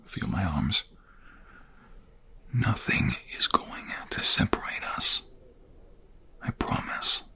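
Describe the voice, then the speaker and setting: A man playing a protective ex-mobster in an audio roleplay drama, husky and breathy, defiant with others and tender with his fiancée. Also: takes it slowly, pauses often, pushed and bright, whispered. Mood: tender, soothing. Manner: intense, protective.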